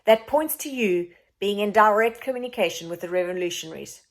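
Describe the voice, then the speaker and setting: A woman's voice: mid-range voice, a little fast, lightly acted. A woman voicing a cold, withdrawn king in a children's story, formal and detached.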